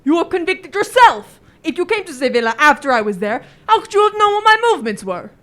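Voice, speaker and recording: A woman playing a furious, defiant, corrupt police inspector who shouts accusations indignantly in an old-time radio drama. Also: high voice, sing-song, fast talker. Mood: furious denial.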